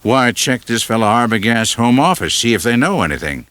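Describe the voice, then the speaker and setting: A man's voice: medium pitch, very animated, a little fast, fairly bright tone, smooth, no room sound, pitched above the performer's own voice. A man voicing a brusque small-town sheriff in an audiobook, plain-spoken and authoritative. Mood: dismissive.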